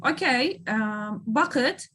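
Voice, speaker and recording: A woman's voice: fairly high pitch, animated, extremely slow, a bit bright. A woman language teacher. She explains and prompts in an encouraging manner, slipping between languages as she goes. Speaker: English teacher.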